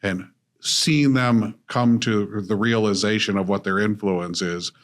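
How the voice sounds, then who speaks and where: A man's voice: fairly low pitch, fairly even intonation, unhurried. A middle-aged man from Illinois, an author and business coach, speaking steadily and reflectively as a podcast guest. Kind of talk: conversational interview.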